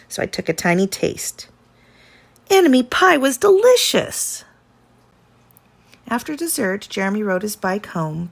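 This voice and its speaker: A woman narrates a children's storybook with animated, dramatic emphasis. Her voice has a noticeable creak, and she stretches out important words as a teacher would for young listeners. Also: medium pitch. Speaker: teacher reading a picture book aloud.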